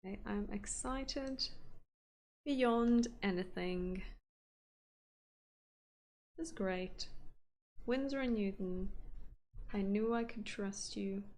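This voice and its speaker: A woman's voice: mid-range voice, slow, pauses often, darkish tone, a little hiss or hum. Chatty woman. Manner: chatty, rambling, laughs often. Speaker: streamer painting live. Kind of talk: casual chat while painting.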